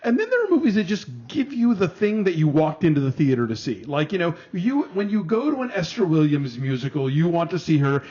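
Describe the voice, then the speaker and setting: A man with a fairly high-pitched voice talks as a critic on a casual review panel. He is chatty and expansive, fond of playful comparisons. The audio is a little dull and band-limited. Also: sing-song, fairly quick, hardly any pauses, darkish tone. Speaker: film critic co-hosting a review show.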